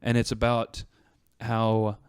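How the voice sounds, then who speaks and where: A man's voice: fairly low pitch, completely flat delivery, slow, clean tone, no room sound, studio quality. A man talking casually as a panelist on a podcast. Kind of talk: casual chat. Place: podcast studio.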